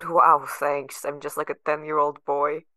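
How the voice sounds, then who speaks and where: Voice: medium pitch, completely flat delivery, a little slow, sharp tone, dry recording. An actor playing a sardonic, guarded young adult patient held in a facility, narrating in the first person with bitter sarcasm. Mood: sarcastic.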